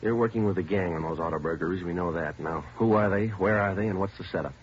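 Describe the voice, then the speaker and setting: An actor playing a terse police detective interrogating suspects in a 1950s radio drama, clipped and matter-of-fact. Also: male voice, deep voice, fast talker, natural voice. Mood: firm. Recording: dull old radio broadcast with faint hiss.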